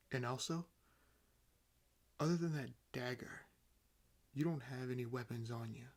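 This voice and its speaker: A man voicing an ancient vampire in an audio roleplay, nonchalant and amused, speaking with wry condescension and theatrical pauses. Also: mid-range voice, gravelly. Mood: amused, dismissive. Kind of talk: roleplay audio acting, addressing the listener.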